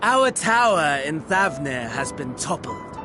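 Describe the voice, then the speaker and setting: An actor playing a haughty, suave game villain with florid, mannered, drawling phrasing and wry theatricality. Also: male voice, on the high side, sing-song, slow, music in the background. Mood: measured. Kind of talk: video game voice acting.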